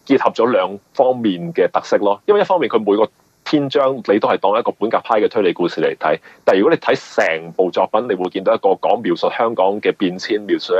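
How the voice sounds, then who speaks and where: A man with a Hong Kong accent being interviewed as a novelist, explaining in a conversational, halting way and often repeating words. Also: sits low, darkish tone, slightly rough, no room sound, muffled recording.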